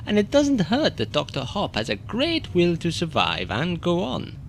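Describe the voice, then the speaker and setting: A man's voice: high-pitched, wildly swooping pitch, moderate pace, vocal fry, slight background noise, heavily acted. A man playing a paternal, reassuring rabbit doctor in an audio drama, with a theatrical, emphatic delivery. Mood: reassuring, grave. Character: avuncular male rabbit doctor, grandly reassuring.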